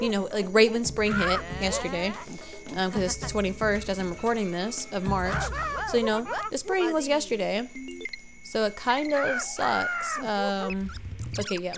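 A young woman commentating a video game casually, congested from allergies, rambling and correcting herself often. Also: medium pitch. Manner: rambling, self-correcting, unsure. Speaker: let's play gamer.

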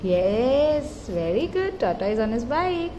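A woman with a high voice reads a children's picture book at an unhurried pace. Her delivery is expressive and singsong, with encouraging little prompts for a toddler. It is a home phone recording with clear background noise. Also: lo-fi sound. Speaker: mother reading to her toddler. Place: tiled floor in a room at home.

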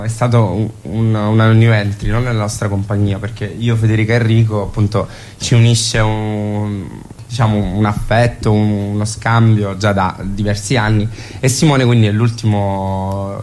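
A man's voice: lowish voice, little pitch movement, normal speed, a little mellow, a little hiss or hum. A man from a theatre company talking as a radio interview guest, often searching for words.

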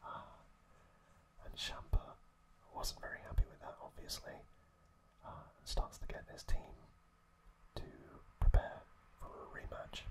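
Slow, whispering man, ASMR style. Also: poor audio. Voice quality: soft unvoiced whisper. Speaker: ASMR host. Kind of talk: whispered ASMR episode recap.

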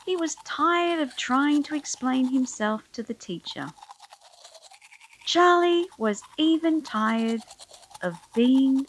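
A woman's voice: a little high, poor audio. A woman reading a children's picture book aloud as storyteller, expressively, drawing out key words for emphasis.

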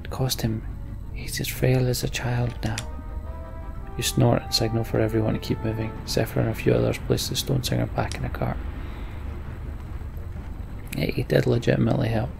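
A man with a heavily fry-laden, gravelly voice gives casual game commentary. His pitch stays fairly flat as he mutters through his thoughts in short fragments and reads on-screen story text. Faint music plays behind him. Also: moderate pace, pauses often. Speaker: let's play host.

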